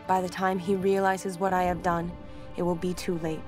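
Woman acting a solemn, even-toned young priestess. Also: fairly low pitch, lightly acted. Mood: grim, resolute. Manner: solemn, measured, formal. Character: young ancient priestess, troubled, dutiful and resolute. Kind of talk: dramatic scripted game narration. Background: music.

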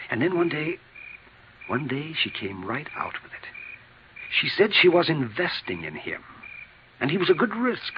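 An actor in a 1950s radio drama playing a weak, middle-aged broker, hesitant and full of regret. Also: male voice, mid-range voice, lightly acted. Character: weak, remorseful insurance broker confessing to fraud. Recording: muffled, noisy 1950s radio recording.

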